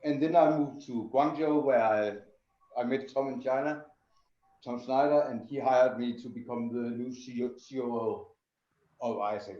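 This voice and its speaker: A man's voice: mid-range voice, steady tone, normal speed. A middle-aged man from Denmark explaining his work as an interview guest. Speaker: interview guest, tannery sustainability director. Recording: roomy, dull lo-fi sound.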